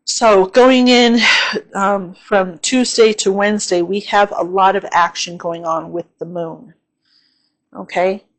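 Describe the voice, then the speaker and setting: A woman hosting her own channel, talking through an astrology forecast in a casual, unhurried way with a slightly hoarse, tired voice, often saying "um" and checking in with "okay?". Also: mid-range voice, fairly even intonation, rough recording.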